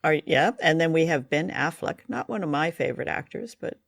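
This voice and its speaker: A woman's voice: low voice, lively intonation, brisk, gravelly, no echo, studio quality. A woman hosting a radio show and podcast in a conversational style.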